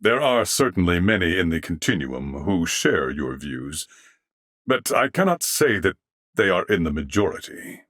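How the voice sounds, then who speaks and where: Mechanical alien game character, very low register, expressive intonation, formal, earnest, courteous, precise wording. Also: male voice, no echo, clean studio sound, heavily acted. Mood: earnest, polite. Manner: formal, measured, politely precise. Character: courteous, earnest adult male mechanical alien life form. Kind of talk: video game character dialogue.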